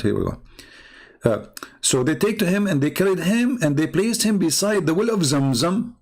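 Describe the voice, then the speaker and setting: Gravelly, mocking man with an Arabic accent. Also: mid-range voice, a little mellow. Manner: mocking, incredulous, with rhetorical questions. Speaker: host of a religious commentary show. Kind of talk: informal polemical commentary on read-out texts.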